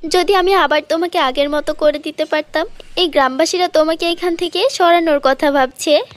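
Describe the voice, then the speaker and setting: A brave young village orphan voiced by a woman speaks in a very high, earnest voice. She is loyal and emphatic, in a dry cartoon fairy-tale recording. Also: fairly even intonation, normal speed, dry recording, natural voice. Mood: sad, devoted.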